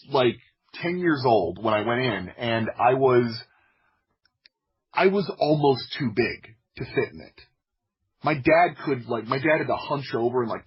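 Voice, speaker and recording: Husky american guy chatting casually, tells rambly anecdotes, kinda dull lo-fi audio. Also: mid-range voice. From Florida, United States. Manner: anecdotal, self-interrupting with frequent likes. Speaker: podcast guest, audio drama creator. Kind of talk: casual conversational storytelling.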